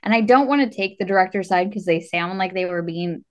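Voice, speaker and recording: A woman's voice: fairly low pitch, a little fast, darkish tone. Woman chatting casually, retelling in quoted dialogue. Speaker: podcast co-host. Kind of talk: casual chat.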